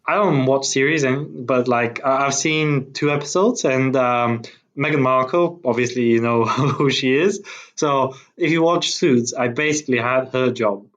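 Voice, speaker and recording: A man's voice: mid-range voice, unhurried, a bit bright. A man from Bulgaria in his thirties, a podcast guest chatting casually with many restarts and fillers and frequent laughs. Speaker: podcast guest, tech founder. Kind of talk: casual interview chat.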